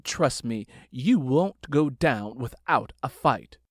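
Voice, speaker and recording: A man's voice: medium pitch, animated, slow pace, dry recording, studio quality. A man voicing an outspoken, protective grown daughter in an audiobook, speaking in a direct, emphatic way. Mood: protective, rousing.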